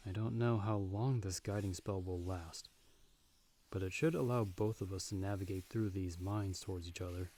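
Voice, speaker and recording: A man acting in an ASMR audio roleplay as a seasoned, magic-wielding monster hunter, talking the listener through danger in a measured, dry, reassuring way. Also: low-pitched, dark tone. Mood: calm, explanatory. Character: calm, seasoned male monster hunter with magic, guiding a partner.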